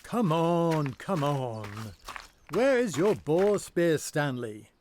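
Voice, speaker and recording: An actor playing a proud, overconfident lord in a Shakespearean audio drama, speaking in an emphatic, theatrical way. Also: male voice, a little high, very animated, slow pace, darkish tone, a little hiss or hum. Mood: cocksure. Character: proud, overconfident English lord, scornful and loyal.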